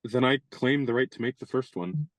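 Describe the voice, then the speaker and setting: Male actor as a courtly, wordy young gentleman; radio play, dry close sound. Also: medium pitch, normal speed, dry recording, close to an everyday voice. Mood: persuasive. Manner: courtly, elaborate, playfully pompous phrasing. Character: genial young gentleman adventurer, chivalrous and wordy.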